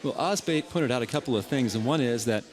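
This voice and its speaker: Measured, articulate man on a radio show. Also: medium pitch. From Texas. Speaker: radio show guest, legal advocate. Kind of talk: interview answers.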